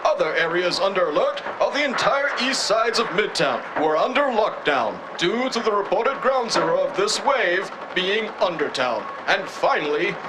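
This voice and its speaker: A man's voice: high voice, big pitch swings, moderate pace, hardly any pauses. A man playing an earnest, formal breaking news anchor in a cyberpunk audio drama, reading an official bulletin. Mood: urgent, official. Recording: clearly noisy broadcast sound.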